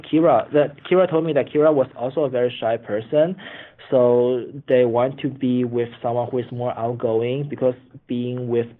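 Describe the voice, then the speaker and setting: Over a thin phone line, a man gives spontaneous interview answers as a former contestant. He speaks haltingly, doubling back and repeating words as he explains himself. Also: medium pitch, fairly even intonation. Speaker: former reality show contestant being interviewed. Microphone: phone call. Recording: phone line, band-limited.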